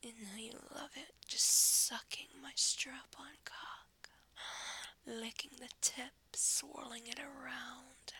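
A woman in an intimate adult roleplay recording, speaking mostly in a breathy whisper with a dominant, commanding manner. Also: high voice, fairly even intonation, slow, sharp tone, rough recording.

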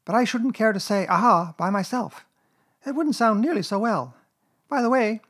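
A tiny, anxious storybook piglet, pitched far up from a grown man's talk. He is fidgety and earnest, explaining his worries in wordy little bursts with a lively lilt. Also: moderate pace. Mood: anxious, hesitant. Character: very small, timid, anxious male piglet. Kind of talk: children's storybook character reading.

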